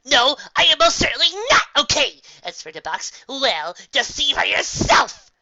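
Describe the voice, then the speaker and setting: A man's voice: very high voice, sing-song, moderate pace, vocal fry, dull audio, noisy background, voice pushed up, heavily acted. A man voicing a melodramatic, indignant female unicorn magician in a storybook reading, pompous and theatrical. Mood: distraught. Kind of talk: fan fiction storybook reading.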